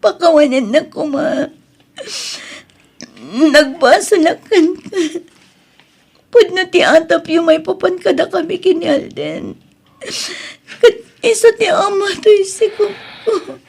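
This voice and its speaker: A woman's voice: very high voice, slow pace, gravelly, pauses often, darkish tone, full character voice. A woman with an Ilocano accent playing a guilty, pleading wife in a radio drama. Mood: tearful, pleading.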